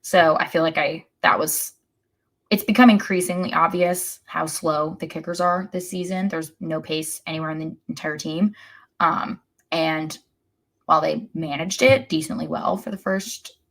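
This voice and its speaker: Wry, low-pitched woman chatting about sports. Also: steady tone, moderate pace, fairly bright tone. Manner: wry, blunt, critical. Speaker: podcast co-host. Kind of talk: casual sports analysis chat.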